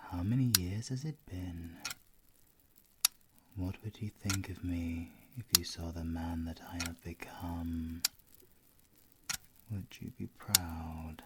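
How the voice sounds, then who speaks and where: A British man playing a grieving, remorseless werewolf villain in an audio roleplay, speaking slowly and haltingly in a hushed, brooding voice. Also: low-pitched, heavy background noise, voice pushed down. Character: grieving, remorseless werewolf villain addressing his dead mother. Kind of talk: dramatic audio roleplay monologue.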